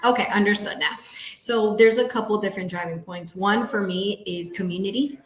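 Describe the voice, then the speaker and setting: A woman's voice: medium pitch, a little fast, slight background noise. A woman in her thirties from Colombia, a realtor speaking English as her second language, talking earnestly in an interview, often laughing and restarting her sentences. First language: Spanish. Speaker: guest, realtor. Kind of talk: casual interview talk. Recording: echoey room.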